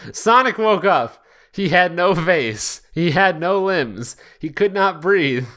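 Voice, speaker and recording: A man's voice: high-pitched, animated, takes it slowly, bright, forceful tone. A man hosting a podcast, reading fan fiction aloud in a casual comedic way and stumbling over the odd word. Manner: often breaks into laughter, stumbles over words. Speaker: podcast host.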